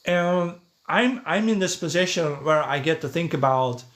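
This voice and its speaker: A man's voice: fairly high pitch, expressive, moderate pace, a bit bright. Man talking casually on a livestream. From Netherlands. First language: Dutch. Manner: conversational, thinking aloud, checking with "right?" and "yeah". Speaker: podcast host, livestreamer. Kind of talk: casual livestream monologue, answering chat comments.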